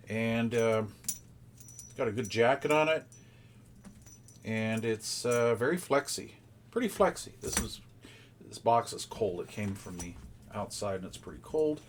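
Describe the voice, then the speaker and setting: A man's voice: medium pitch, slow pace, pauses often, a little hiss or hum. A man giving casual unboxing commentary as a product reviewer, in a rambling, folksy, conversational way.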